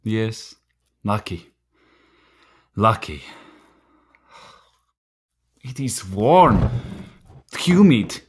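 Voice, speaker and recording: Slow, brooding Slovak immigrant husband. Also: male voice, fairly low pitch, very animated, slightly rough, exaggerated voice. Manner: halting, short broken phrases. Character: fiery, brooding, wounded Slovak immigrant husband and night watchman. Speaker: actor. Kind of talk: radio play acting.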